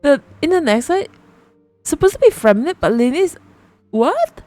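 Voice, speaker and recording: A woman's voice: high voice, very animated, moderate pace, mellow, no echo, faint music behind. A woman livestreamer giving casual commentary on a game, often repeating and rephrasing her thoughts.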